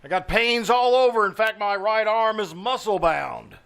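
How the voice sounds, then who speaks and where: A man's voice: high-pitched, moderate pace, creaky, a bit bright, clear, resonant tone. A man playing a fussy, sarcastic, fretful businessman husband in a 1940s-style radio comedy. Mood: exasperated. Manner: fretful, complaining. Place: live small theater stage.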